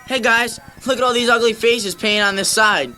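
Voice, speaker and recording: High-pitched, goading young boy. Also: normal speed, bright, forceful tone, exaggerated voice. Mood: eager, coaxing. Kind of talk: audio drama acting. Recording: old cassette with faint music.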